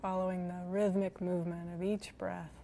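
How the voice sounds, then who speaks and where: Low, very even-toned woman guiding meditation. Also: creaky, slight background noise. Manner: slow, soothing, gentle delivery with long pauses. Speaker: meditation guide. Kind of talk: guided body scan meditation.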